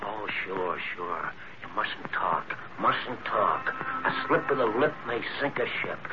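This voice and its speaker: A man's voice: lowish voice, expressive, slow pace. An actor playing a jovial drunk man in a 1940s radio drama, slurring and repeating himself in an over-friendly way. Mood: tipsy, chummy. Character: loud jovial drunk man carousing in a bar. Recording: noisy muffled vintage radio recording.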